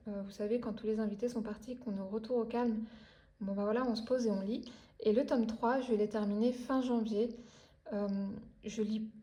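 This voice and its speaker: French woman, late thirties, easygoing book-chat vlogger, warm mellow tone, slightly high voice, lots of "euh". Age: 30s. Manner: chatty, many hesitations and restarts. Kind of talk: casual chat about books.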